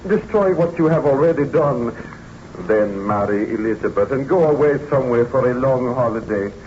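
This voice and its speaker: An actor in an old-time radio drama playing a cautious, sceptical friend, speaking in formal and earnest phrases. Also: male voice, medium pitch, animated, moderate pace, darkish tone, natural voice. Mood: earnest, pleading. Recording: dull, hissy vintage radio recording.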